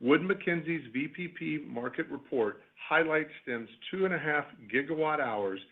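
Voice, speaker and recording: A man, a company executive, reading a scripted earnings call presentation in a formal, measured corporate way. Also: a little high, expressive, slightly rough. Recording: tinny narrowband phone conference line.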